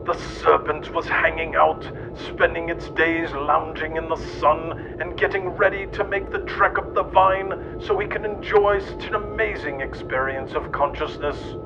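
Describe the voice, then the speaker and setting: A man in his thirties giving a scripted, mock-solemn storybook narration in a deadpan, grandiose way. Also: measured pace, vocal fry, few pauses, over music. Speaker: host reading comic mock-history. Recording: dull, noisy lo-fi track with rumble.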